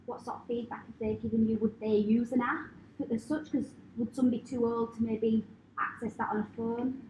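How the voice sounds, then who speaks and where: A woman asks questions from an audience at a pitch event. Her voice sits a little high. The sound is dull and roomy, with clear noise around it. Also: flat delivery, normal speed, darkish tone. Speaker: audience member asking questions.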